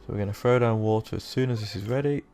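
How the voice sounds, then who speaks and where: A young man with a sore, croaky throat, rambling casually through let's-play commentary with lots of fillers. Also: lowish voice, quick pace, mellow, faint music behind. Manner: rambling, self-deprecating, with many fillers. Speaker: gaming YouTuber.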